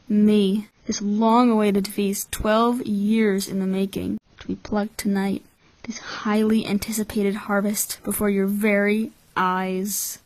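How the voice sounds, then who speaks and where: A woman voicing a cynical, calculating twelve-year-old orphan boy in a measured, blunt anime-style fan dub. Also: mid-range voice, darkish tone, dry recording, lightly acted, rough recording. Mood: bitter, defiant.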